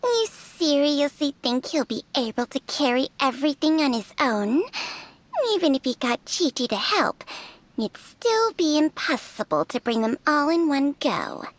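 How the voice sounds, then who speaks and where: A woman's voice: high-pitched, big pitch swings, a little slow, dry recording, poor audio. A woman voicing a small, lazy, sardonic creature companion in a video game, with a teasing, blunt drawl. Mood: scoffing. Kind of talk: scripted game voice lines. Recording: music behind the voice.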